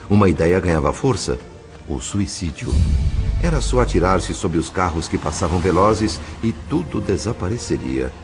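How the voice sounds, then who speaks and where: Grave, deep-voiced Brazilian spirit narrator , acted by a man, solemn storytelling over clear music for an audio novel. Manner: solemn, literary, measured. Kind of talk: dramatised scripted narration.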